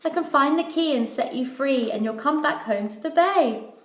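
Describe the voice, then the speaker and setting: Woman as a loyal seagull, raised pitch, urgent, pleading, storybook read-aloud, echoey room. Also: animated, normal speed. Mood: urgent, encouraging. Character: loyal, urgent seagull friend of a captive mermaid.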